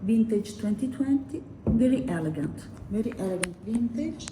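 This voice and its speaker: A woman's voice: medium pitch, lively intonation, moderate pace, mellow. Woman winery host, roomy echo. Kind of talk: explaining wines to guests. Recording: distant in a reverberant room, noisy.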